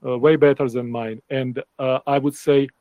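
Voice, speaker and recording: A middle-aged man with a Ukrainian accent talks through his thoughts in a panel chat, stumbling and restarting as he hunts for words. His voice sits at an ordinary pitch and comes across close and dry, with little room around it. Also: little pitch movement, warm tone, no room sound. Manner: halting, searching for words, many restarts. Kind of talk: casual group discussion.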